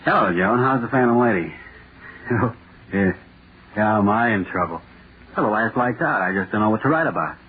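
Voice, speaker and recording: An actor playing a nervous, overworked male author in 1940s radio drama, halting and muttering to himself. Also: lowish voice, animated, breathy edge. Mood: weary, wry. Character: jittery, overworked male horror-play author, restless and self-doubting. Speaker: radio playwright dictating a script. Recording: scratchy, noisy, tinny old radio broadcast.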